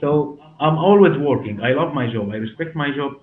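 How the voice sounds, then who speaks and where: A man in his forties with a Syrian Arabic accent, answering interview questions in halting, repetitive non-native English. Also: fairly high pitch, animated. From Damascus, Syria. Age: 40s. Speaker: hair clinic director and interview guest. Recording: roomy, muffled, narrow sound with music behind.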